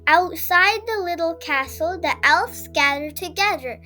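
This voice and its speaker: A woman reading a children's story aloud in an expressive, scripted storytelling manner. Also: very high-pitched, measured pace, soft background music. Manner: expressive read-aloud delivery with character voices. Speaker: storybook reader.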